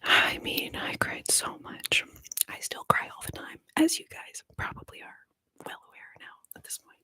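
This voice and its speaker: Tearful whispering woman. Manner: soft, slow, emotional, pauses often. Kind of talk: whispered ASMR chat to viewers.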